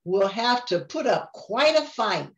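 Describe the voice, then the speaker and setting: Slow, gentle older woman reading aloud. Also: medium pitch, big pitch swings. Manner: gentle, unhurried, chuckling often. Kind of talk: reading a rhyming picture book aloud.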